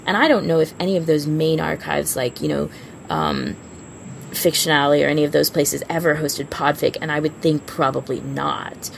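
A woman's voice: sits low, lively intonation, normal speed, vocal fry, a little hiss or hum, rough recording. A woman co-hosting a casual fan podcast, talking in an informal, rambling way and often interrupting herself. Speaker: podcast co-host.

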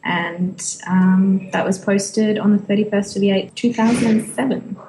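A woman's voice: medium pitch, steady tone, normal speed, dark tone. Woman podcaster in an echoey room. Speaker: podcast co-host. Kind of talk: casual fan discussion. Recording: roomy echo, faint background noise.

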